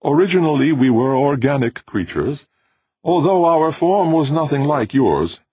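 Ancient machine, sweet high tenor. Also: male voice, animated, breathy edge, no room sound, dull audio. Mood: calm, solemn. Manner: measured, formal, unemotional pronouncements. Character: ancient self-aware alien machine, calm, sweet-voiced, solemn.

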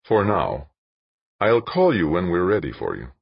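A man doing a slow, smug, menacing captor. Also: deep voice, wildly swooping pitch, gravelly, breathy edge, dry recording, lo-fi sound, pitched above the performer's own voice, full character voice.

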